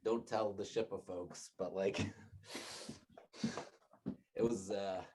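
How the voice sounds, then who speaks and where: Low-voiced man in a casual work call. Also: steady tone, slow, background noise. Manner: rambling, self-interrupting, laughs often. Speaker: meeting participant. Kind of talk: casual work meeting chat. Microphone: laptop speaker mic on video call.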